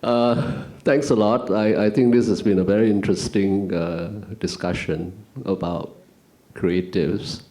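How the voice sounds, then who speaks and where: A man in the audience asking a question, halting with "um" and "uh". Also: lowish voice, fairly even intonation, warm tone. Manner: slow, halting, with "um" and "uh".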